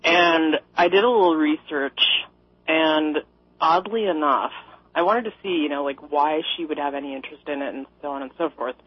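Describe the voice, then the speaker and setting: A woman's voice: low-pitched, normal speed, breathy edge. A woman from Minnesota calling in to a radio show, rambling and laughing with a sarcastic edge. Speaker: caller. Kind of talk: call-in radio chat. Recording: dry, muffled phone-in audio.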